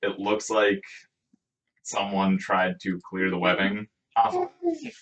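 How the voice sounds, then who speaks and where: A game master narrating a tabletop role-playing game in a halting, conversational way. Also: low voice, huge pitch swings. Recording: distant microphone.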